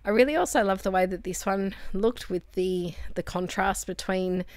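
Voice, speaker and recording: A woman from Australia chatting casually while making crafts, rambling and correcting herself, her voice a little croaky. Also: medium pitch, normal speed, no echo. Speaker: crafting vlogger.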